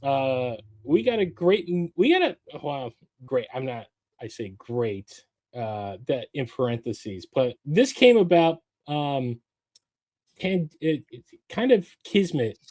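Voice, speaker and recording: Animated nasal man hosting a podcast. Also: mid-range voice, takes it slowly, dry recording. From California. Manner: animated, showman-style delivery. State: stuffy from a recent head cold. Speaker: podcast host. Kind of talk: casual podcast chat.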